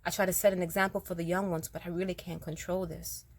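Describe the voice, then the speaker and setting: A woman with a naturally raspy voice, a little rougher than usual, speaking earnestly to her listeners and reciting her own spoken word poem. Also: on the low side, flat delivery, fast. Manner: earnest, direct, addresses listeners as y'all. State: raspier than usual, throat slightly rough.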